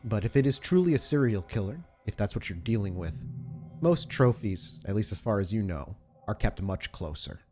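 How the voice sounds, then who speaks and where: A man narrating a tabletop horror role-playing game as game master, setting scenes in descriptive, deliberate detail. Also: on the low side, expressive, warm tone. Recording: music playing under the voice. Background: music.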